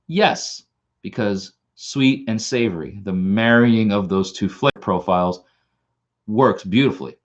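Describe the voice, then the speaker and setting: A man's voice: lowish voice, a little slow, lo-fi sound. A man with a Long Island accent, hosting a casual live stream and rambling, with lots of restarts and repeated words. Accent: Long Island New York. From Long Island, New York. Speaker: channel host.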